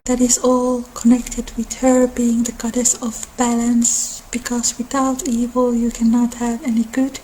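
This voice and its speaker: A woman who is not a native English speaker, giving a soft ASMR-style informal lecture in a halting way, as if explaining lore on her own channel. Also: a little high, completely flat delivery, a little hiss or hum, rough recording. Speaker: host of a mythology channel.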